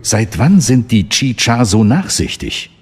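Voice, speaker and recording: An actor playing a serious, resolute Jedi master, stern and questioning, in a radio drama. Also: male voice, mid-range voice, big pitch swings, a little slow, background noise.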